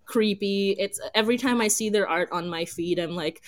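A woman's voice: mid-range voice, normal speed. Giggly, enthusiastic woman podcast host. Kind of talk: casual group chat on a live podcast.

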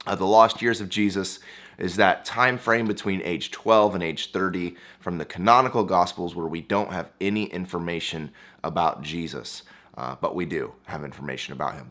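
A young man hosting his own channel, talking through a topic in a relaxed, conversational way with a hoarse, froggy voice and plenty of "uh"s. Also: low-pitched. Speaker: YouTube and podcast host. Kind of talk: casual explainer monologue.

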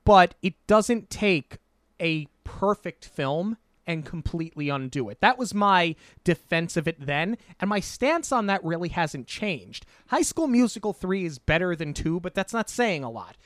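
Male podcast co-host, slightly high, opinionated and rambling, dry booth sound. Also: fairly bright tone, no room sound. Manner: rambling, self-correcting, opinionated. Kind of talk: casual movie review chat.

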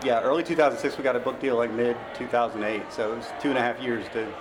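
American man, middle-aged, average pitch, casual interviewee, self-deprecating storyteller, noisy room ambience. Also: steady tone, fast. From Memphis, Tennessee, United States. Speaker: novelist being interviewed.

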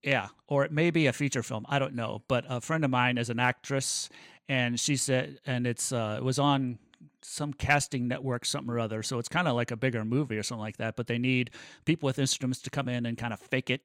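A man's voice: mid-range voice, steady tone, brisk, fairly bright tone, no echo, studio quality. A man talking casually as a podcast co-host, rambling along enthusiastically with a joking streak.

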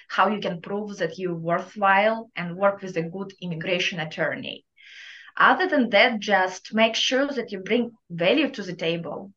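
Slow-spoken woman with a thick Russian accent. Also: mid-range voice, a bit bright, muffled recording. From Kazakhstan. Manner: deliberate, earnest, motivational. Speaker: guest entrepreneur. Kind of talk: interview answers, advice.

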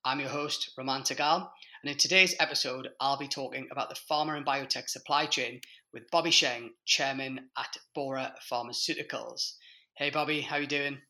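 A man's voice: mid-range voice, steady tone, normal speed, bright, forceful tone. A man hosting an industry podcast in a conversational style, speaking hesitantly with frequent restarts. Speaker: podcast host. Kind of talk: conversational interview.

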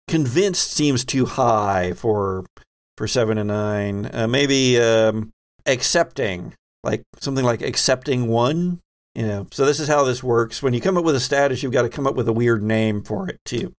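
A man acting as game master, narrating scenes and explaining rules in a conversational way. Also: medium pitch. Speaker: game master and host of a tabletop role-playing show.

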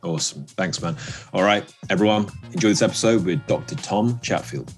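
A man hosting a podcast, introducing the episode in an upbeat, brisk way. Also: low-pitched, soft background music. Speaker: podcast host.

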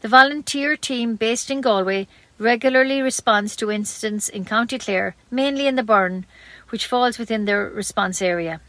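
A woman's voice: on the high side, moderate pace, a bit bright, no echo. A woman with an Irish accent reads scripted local news as a community radio newsreader.

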